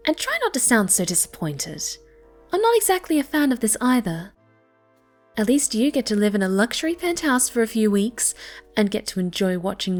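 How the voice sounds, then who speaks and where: A woman playing a snobby, pouty young cat girl with a soft side in an ASMR roleplay. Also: mid-range voice. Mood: bratty, disdainful. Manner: haughty, pouty, dismissive.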